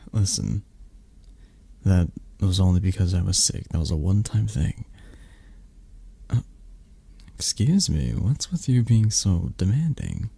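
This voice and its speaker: Man acting as possessive mafia boss boyfriend, very low pitch, slow murmur, soft and hesitant, intimate ASMR roleplay. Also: sing-song, vocal fry, slightly rough, full character voice. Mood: mock reluctant. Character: possessive, tender male mafia boss lover.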